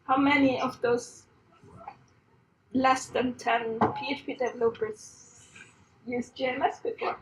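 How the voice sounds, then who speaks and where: A woman's voice: a little high, fairly even intonation, measured pace, pauses often, a little hiss or hum, poor audio. A woman in her thirties from Sweden with a Swedish accent, giving a chatty, self-deprecating conference talk with frequent laughs. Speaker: conference speaker. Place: conference room on a stage.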